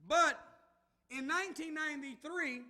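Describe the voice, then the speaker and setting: A man delivers a sermon in a very high voice. He calls to his listeners and works them into responses, climbing to loud shouted passages. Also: a little slow. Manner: call-and-response preaching, rising to shouts. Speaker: minister.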